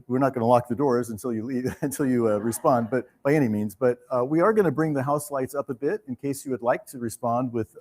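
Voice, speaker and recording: Guy hosting a fundraiser night, talks kinda halting and measured, polite, addressing the audience. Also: mid-range voice, darkish tone. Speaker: host of a theater benefit evening. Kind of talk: live fundraising appeal to an audience.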